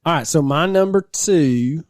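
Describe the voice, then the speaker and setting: A man's voice: a little high, a little slow, no echo, studio quality. A man co-hosting a podcast in casual, playful, teasing conversation. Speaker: podcast co-host. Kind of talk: casual banter.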